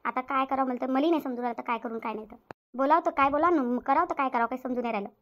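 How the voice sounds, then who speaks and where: A woman's voice: high-pitched, racing, muffled recording, close to an everyday voice. A woman voicing a harried, dutiful elder sister-in-law in a Marathi cartoon, resigned and chatty, with a rural Varhadi accent. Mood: resigned worried. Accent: rural Maharashtra, Varhadi Marathi. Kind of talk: domestic cartoon story dialogue.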